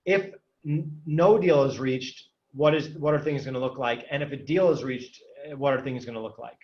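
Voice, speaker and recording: Guy interviewer, kinda fumbling for words, muffled lo-fi sound. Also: mid-range voice. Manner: casual, searching for words. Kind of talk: interview question.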